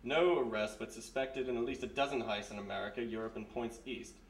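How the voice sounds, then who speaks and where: A man's voice: mid-range voice, brisk, natural voice. Man playing a formal British major, echoey and distant. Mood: smug, formal. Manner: formal, clipped, smugly insinuating. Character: courteous, menacing British military police major. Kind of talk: 1940s radio mystery acting, live on stage. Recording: echoey live stage, distant, faint noise.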